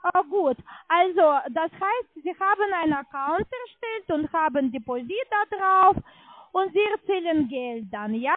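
A woman's voice: very high-pitched, lively intonation, unhurried, no room sound. A woman call centre agent surveying a customer, chatty and questioning, with non-native phrasing. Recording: tinny narrowband phone line.

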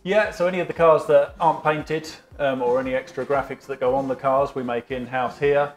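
A man's voice: medium pitch, steady tone, brisk. A man guiding a tour of his team's factory, explaining things in a plain, matter-of-fact way with frequent ums. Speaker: team staff member giving a tour. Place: large workshop indoors. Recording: roomy workshop echo.

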